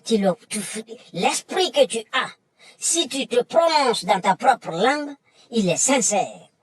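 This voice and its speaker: A woman's voice: a little high, expressive, measured pace, husky, no room sound. A woman delivering an emphatic, lecturing monologue that repeats its points. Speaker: commentator. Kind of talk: impassioned monologue.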